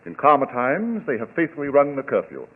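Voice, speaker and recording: An actor playing a courteous, stately English lord in an old-time radio drama, formal and oratorical. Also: male voice, medium pitch, big pitch swings, brisk. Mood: proud, solemn. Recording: muffled, thin old radio recording.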